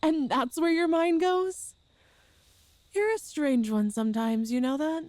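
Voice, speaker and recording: High-voiced, affectionate girlfriend played by a woman. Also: a little slow, no room sound. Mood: teasing, relieved. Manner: chatty and tender, with soft laughs and sighs. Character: affectionate, playful girlfriend raised by a ghost family. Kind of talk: ASMR audio roleplay.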